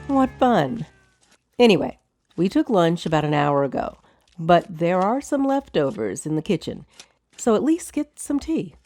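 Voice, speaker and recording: A woman's voice: fairly low pitch, very animated, a little slow, darkish tone, dry recording, soft background music, heavily acted. An actress playing a poised, wry noblewoman of a wizard's tower in a fantasy audio drama. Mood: wry, amused. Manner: dry, amused, composed.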